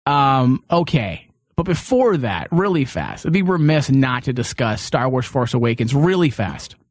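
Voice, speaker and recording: A man's voice: medium pitch, big pitch swings, moderate pace, dry recording. A man hosting a studio podcast in a casual, chatty style with teasing banter. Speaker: podcast host.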